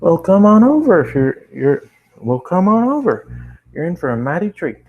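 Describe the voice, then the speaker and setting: A man's voice: high voice, sing-song, normal speed, muffled recording, voice pushed up, exaggerated voice. A man voicing a friendly, homespun female cartoon pony with a folksy, hearty manner, in a story reading. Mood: warm, inviting.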